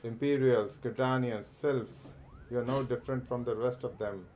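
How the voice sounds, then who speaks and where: Man voicing a stern little moogle from a game, read plainly at his usual pitch, no put-on voice. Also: fairly even intonation, normal speed, darkish tone, a little hiss or hum, lightly acted. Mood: accusing. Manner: reads the game's dialogue aloud in his own voice.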